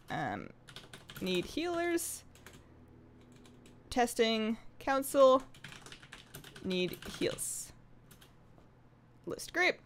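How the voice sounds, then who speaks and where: A woman in her twenties chatting casually on a live game stream, rambling along in a bubbly, animated and giggly way. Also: medium pitch. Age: 20s. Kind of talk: casual live stream chat while gaming.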